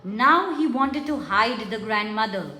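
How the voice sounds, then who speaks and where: A woman's voice: fairly high pitch, lively intonation, measured pace, sharp tone. A woman narrating a children's story from a script for a puppet show. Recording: echoey room with faint music.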